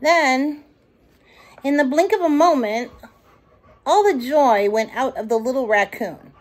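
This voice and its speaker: A woman reading a picture book aloud to young children, gentle and expressive. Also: high voice, a little slow. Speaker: children's storytime reader.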